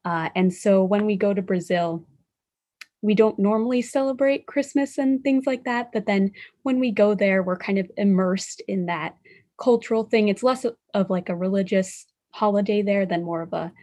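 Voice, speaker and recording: A woman's voice: mid-range voice, moderate pace, warm tone. A teenage girl, a student on a panel, speaking in a friendly, modest, casual way. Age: teens. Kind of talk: casual discussion.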